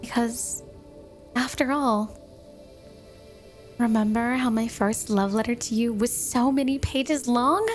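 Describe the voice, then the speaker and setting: A woman's voice: on the high side, takes it slowly, pauses often, soft background music. A woman playing an obsessively devoted snow woman wife in a flirty ASMR roleplay, gushing and cooing with a sweet but possessive manner. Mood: playful, gushing. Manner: gushing, cooing, doting, dreamy.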